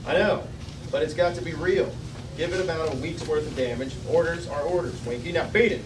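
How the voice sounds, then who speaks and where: A man reading the part of a brisk, commanding space captain giving orders at a script table read. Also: fairly high pitch, close to an everyday voice. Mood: brisk, insistent. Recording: distant mic in echoey noisy restaurant.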